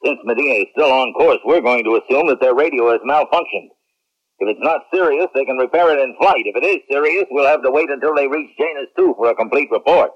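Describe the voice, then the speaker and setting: A man playing a steady, encouraging military general in a science fiction radio drama, with an authoritative, measured manner. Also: mid-range voice, lightly acted. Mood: concerned, measured. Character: male commanding general, steady, encouraging and cautious. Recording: muffled 1970s radio recording.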